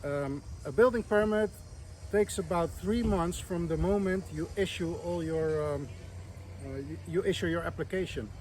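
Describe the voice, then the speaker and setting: A man's voice: on the high side, moderate pace, a little mellow, slight background noise, low-quality recording. A man from the Netherlands who builds villas, giving casual to-camera advice in a plain, hesitant way with many ums.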